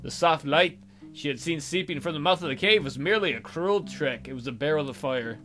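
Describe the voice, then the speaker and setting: A man's voice: fairly high pitch, brisk, a bit bright, soft background music. A man hosting a let's play, reading a visual novel aloud as dramatic narration with joking asides.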